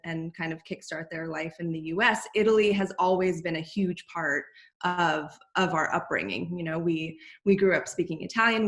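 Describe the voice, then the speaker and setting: Chatty New woman, low voice. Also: fairly bright tone. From United States. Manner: chatty, many fillers and restarts. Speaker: podcast guest, co-founder. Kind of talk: casual interview chat.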